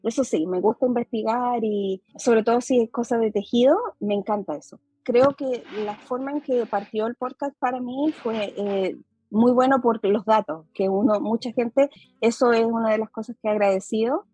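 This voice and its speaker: A woman's voice: on the high side, normal speed, mellow, husky, no echo, faint music behind, rough recording. A woman with a mixed Chilean accent hosting a casual podcast chat over a video call, talking in a chatty way with many fillers. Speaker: podcast host.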